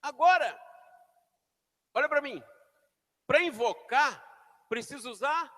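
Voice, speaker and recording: A pastor preaching a sermon in a church, shouting then dropping to near whispers as he calls the congregation to repeat after him. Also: very high-pitched, animated, slow pace. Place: church hall. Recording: long hall reverb.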